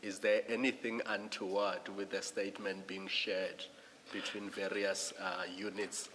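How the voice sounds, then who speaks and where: Low-voiced South African man questioning, echoing room. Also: level tone, a little slow, a bit bright. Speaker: commission panel member questioning a witness. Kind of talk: formal hearing questioning. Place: large indoor hearing room. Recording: reverberant room, faint background noise.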